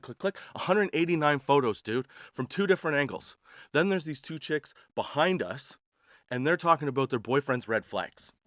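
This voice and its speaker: Male, 40s. Sarcastic comic storyteller, crude and slangy, mid-pitched, recorded dry. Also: fairly bright tone, no echo. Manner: slangy, crude, sarcastic, addressing listeners as dude. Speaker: podcast host telling travel stories. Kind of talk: casual comedic storytelling.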